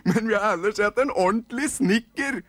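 Shrill eager gnome children. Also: male voice, a bit bright, no echo. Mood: excited. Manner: shrieking, clamoring.

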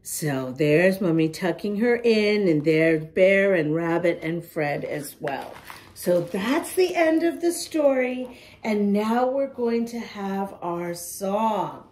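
A woman's voice: mid-range voice, animated, slow, darkish tone, a little hiss or hum. A woman reading a picture book aloud to young children, adding chatty little asides for her listeners. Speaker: children's storybook reader.

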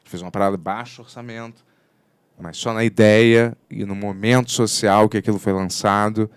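Low-voiced Brazilian man, dry close sound. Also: fairly even intonation, clear, resonant tone, dry recording. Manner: halting, rephrases questions repeatedly. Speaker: podcast host. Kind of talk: casual interview chat. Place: indoor podcast studio. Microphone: studio microphone on arm.

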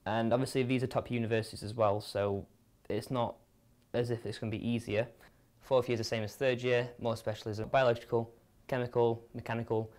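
A man's voice: mid-range voice, level tone, fairly quick, darkish tone. A young man with a northern English accent, an engineering student chatting casually in an interview. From Sheffield, England. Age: young adult.

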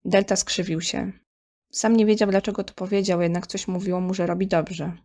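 A woman's voice: medium pitch, little pitch movement, moderate pace, dark tone. A woman reading scripted story narration in Polish as the narrator of an audio drama.

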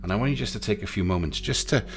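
A man narrating a guided sleep meditation in a soothing, gentle, unhurried way, leaving pauses between short phrases. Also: lowish voice, slight background noise. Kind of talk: guided sleep meditation, hypnotic relaxation countdown. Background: music.

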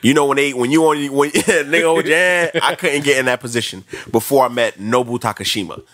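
A man's voice: mid-range voice, fairly quick, a bit bright. A man in his late twenties with an American accent, chatting casually as an interview guest, repeating himself for emphasis. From South Florida, United States. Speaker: podcast guest, track athlete.

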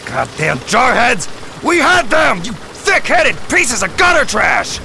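Gruff mutant brawler, gravelly, forceful, hot-tempered, audio drama. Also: male voice, very high-pitched, huge pitch swings, takes it slowly, a little hiss or hum, exaggerated voice. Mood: furious.

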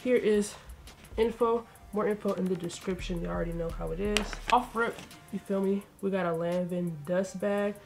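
A woman's voice: mid-range voice, steady tone, moderate pace, gravelly, over music. A young woman talking casually through a sneaker unboxing, a bit congested, in a slangy, chatty way. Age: young adult. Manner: laid-back, chatty, slangy. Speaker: sneaker vlogger. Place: bedroom with shoe shelves, indoors.

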